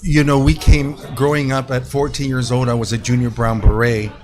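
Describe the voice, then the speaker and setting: A man in his sixties from California, talking casually and reflectively as an interview guest, in a halting way. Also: mid-range voice, flat delivery, a crowd in the background. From United States (California). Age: 60s. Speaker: mariachi musician, show guest. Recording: clearly noisy background ambience.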